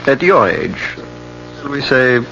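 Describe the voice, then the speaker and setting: Creaky-voiced, courteous eye doctor. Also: male voice, mid-range voice, sing-song, takes it slowly, a bit bright, slight background noise, heavily acted. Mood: courteous, measured. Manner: precise, slightly formal phrasing. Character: courteous foreign eye doctor, friendly and measured. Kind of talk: 1980 radio drama acting. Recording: muffled, humming old radio broadcast.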